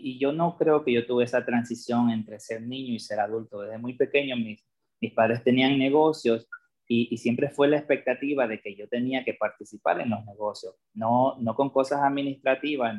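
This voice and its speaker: A man's voice: mid-range voice, a little mellow. A man from Ecuador co-hosting a podcast, speaking in a hesitant way with many restarts. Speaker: podcast co-host. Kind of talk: casual conversation.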